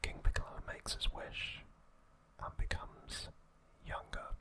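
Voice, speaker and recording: Whispering male ASMR narrator, unhurried. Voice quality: soft breathy whisper. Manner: slow, deliberate, short broken phrases. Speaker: ASMR host narrating anime trivia.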